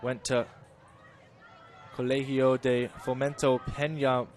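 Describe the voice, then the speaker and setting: A man's voice: medium pitch, level tone, slow, darkish tone. Man calling a college soccer match. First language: English. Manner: chatty, self-correcting, rises with the play. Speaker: play-by-play commentator. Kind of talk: live soccer commentary.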